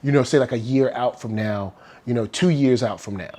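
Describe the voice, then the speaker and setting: A man's voice: medium pitch, brisk, crowd noise. A man and father chatting casually on a podcast, laid-back and joking, full of street slang. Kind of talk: casual chat.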